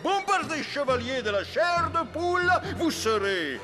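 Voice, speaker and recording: A man's voice: very high-pitched, sing-song, measured pace, over music, full character voice. A man playing a pompous, imperious cyclops henchman in a French cartoon dub, speaking in inverted word order. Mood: menacing, solemn.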